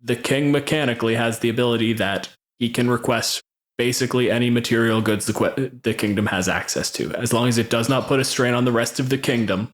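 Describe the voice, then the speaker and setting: A man talks as a game master on a casual podcast, in a middle-pitched voice. He lays out his opinions and rules with emphasis and drops swear words casually as he goes. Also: fairly even intonation, brisk. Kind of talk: casual opinionated tabletop gaming chat.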